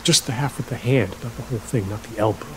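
A man's voice: medium pitch, lightly acted. A male actor plays an awkward, lonely middle-aged host in an audio drama. His mid-range voice stumbles and repeats as he overshares in an unsettlingly chatty way. Faint noise and ambience sit behind him. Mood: eerily chatty. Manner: halting, repeats himself, oversharing. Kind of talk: naturalistic audio drama acting.

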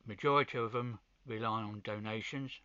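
A man giving an informal hands-on video demonstration, pausing between short phrases, with muffled sound. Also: on the low side, steady tone, bright, forceful tone, no echo. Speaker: amateur radio hobbyist presenter.

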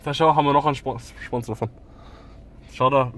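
A man's voice: medium pitch, fairly even intonation, fast talker. A young man with an Austrian accent, chatting casually and jokingly while driving. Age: young adult. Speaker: vlogger driving the car. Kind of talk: casual chat. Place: inside a moving car. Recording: very loud car and road noise. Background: engine and traffic noise.

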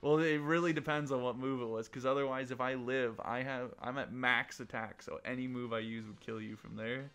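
A man's voice: medium pitch, fairly even intonation, brisk, hardly any pauses, fairly bright tone, clear, resonant tone. A young man in casual gaming banter, playful and jokey, talking as host and player over voice chat. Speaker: host and player of an online game battle. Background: game music.

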